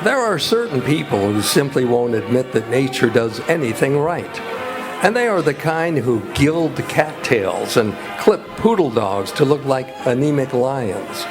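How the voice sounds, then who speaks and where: A man's voice: medium pitch, sing-song, unhurried, music in the background. An American man announcing in old-time radio style, reading introductions and sponsor copy.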